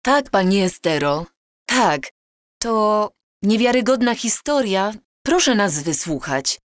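An actress playing an earnest, courteous and insistent time traveller in an audio drama. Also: female voice, medium pitch, no echo, studio quality. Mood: courteous, hesitant. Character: earnest, pleading granddaughter from the future.

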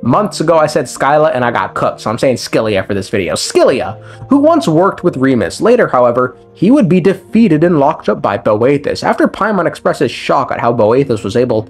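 A man's voice: mid-range voice, big pitch swings, a little fast, husky. A man giving a casual scripted recap of a video game story as a lore commentator, with irreverent, sweary, slangy asides. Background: background music.

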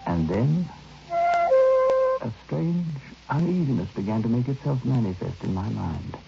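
An actor playing a reflective male writer, narrating his memories with measured, dramatic pauses in an old radio drama. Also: medium pitch, animated, vocal fry, over loud music. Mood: haunted. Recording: dull old radio recording, faint noise.

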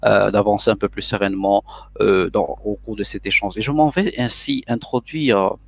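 A man's voice: lowish voice, steady tone, moderate pace, vocal fry, no room sound. A man moderating a live online panel in a formal, hesitant way with many fillers. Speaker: webinar moderator.

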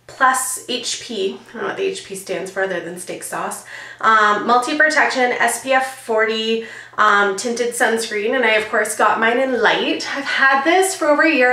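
A woman in her thirties with a slightly froggy, hoarse voice, chatting and joking through a beauty product review in a small bedroom. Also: on the high side, pushed and bright. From Canada. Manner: chatty and jokey, demonstrating while talking. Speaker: beauty vlogger. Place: small bright bedroom, indoors. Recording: roomy, slightly distant camera sound.

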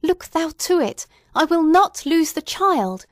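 A woman's voice: extremely high pitch, animated, slow pace, no echo, studio quality, pitched above the performer's own voice, heavily acted. A woman reading the part of a desperate young mother who pleads fiercely, near shrieking, in an audiobook. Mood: pleading.

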